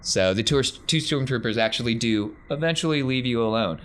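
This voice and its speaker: A man's voice: medium pitch, animated, fairly quick, a bit bright. A man working as game master, narrating a tabletop game and talking through the rules off the cuff.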